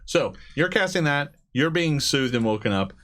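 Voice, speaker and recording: A man improvising narration as game master of a tabletop game, speaking haltingly and with amusement. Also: on the high side, lively intonation, fairly bright tone.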